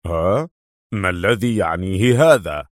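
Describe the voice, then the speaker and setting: A man's voice: on the low side, lively intonation, normal speed, fairly bright tone, dry recording, clean studio sound. A man voicing a harsh, curt, corrupt police inspector in an audiobook. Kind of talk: audiobook character voice.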